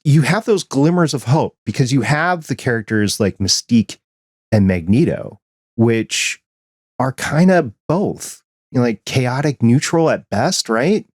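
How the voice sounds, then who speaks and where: A man's voice: medium pitch, expressive, a little slow, darkish tone, no echo, clean studio sound. American man chatting on a podcast. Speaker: podcast co-host. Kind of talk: casual discussion and commentary.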